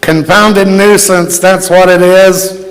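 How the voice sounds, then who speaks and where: A man's voice: sits high, fairly even intonation, takes it slowly. A man playing a grumpy, stubborn, dismissive family father in an old-time radio play performed on stage. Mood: grumbling. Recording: echoey hall stage recording.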